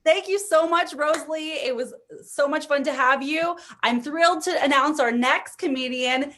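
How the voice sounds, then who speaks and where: A woman's voice: high voice, normal speed, a bit bright, slight background noise. A woman hosting a comedy show, introducing acts in an informal, enthusiastic way. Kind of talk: upbeat emcee introductions. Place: online video event.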